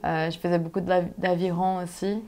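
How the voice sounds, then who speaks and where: A young woman from Brazil, a native Portuguese speaker with a Brazilian accent, telling her story conversationally and haltingly as she searches for words. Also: on the low side, flat delivery, darkish tone. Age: young adult. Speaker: interview guest, solo sailor.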